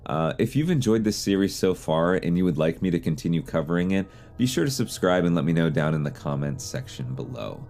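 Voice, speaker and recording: A man's voice: low-pitched, expressive, brisk, creaky, few pauses, darkish tone. A man hosting an explainer series in a semi-scripted narration style. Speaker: host of an analog horror explainer series.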